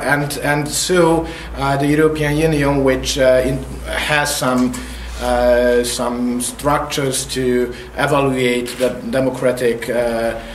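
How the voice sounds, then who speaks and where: A man's voice: mid-range voice, slight background noise. Hesitant Hungarian-accented man in a roomy hall. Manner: hesitant, many filler pauses. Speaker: workshop speaker. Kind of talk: opening remarks at a workshop.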